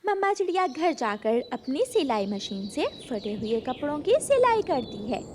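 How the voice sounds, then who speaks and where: A woman's voice: high-pitched, big pitch swings, normal speed, noisy background. A woman narrating a children's cartoon story as a scripted storyteller.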